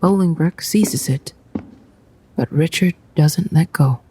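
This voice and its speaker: Radio play narrator, mellow and unhurried, hushed scripted delivery. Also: mid-range voice, a little hiss or hum, low-quality recording.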